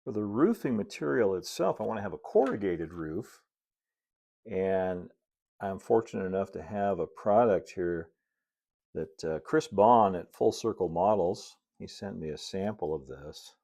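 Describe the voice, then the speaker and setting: A man's voice: low voice, animated, unhurried, gravelly, warm tone. A middle-aged man narrating a hands-on hobby tutorial in a casual, folksy way with chatty asides. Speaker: model railroad builder and video host.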